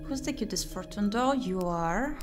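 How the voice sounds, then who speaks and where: Woman doing a game playthrough, medium pitch, reads lines out loud then mumbles to herself, music behind her. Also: animated, moderate pace. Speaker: streamer playing a visual novel. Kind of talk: game playthrough reading text aloud with murmured asides.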